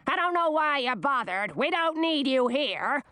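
Woman doing a screechy old storybook queen, way high pitched, snapping and scolding. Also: sing-song, unhurried, sharp tone, no room sound, studio quality, heavily acted. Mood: scornful. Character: shrill, scornful old queen, bitter.

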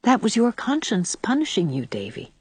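Woman narrator doing a gentle patient young woman, soft n tender, kinda admonishing, lilting pitch, storybook. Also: huge pitch swings, moderate pace, husky, no echo. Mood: gentle, serious. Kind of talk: audiobook character voice.

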